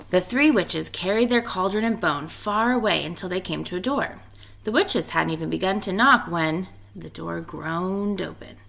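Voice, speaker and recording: A woman reading a storybook aloud in an expressive narrating style. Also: lowish voice, vocal fry. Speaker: storybook reader.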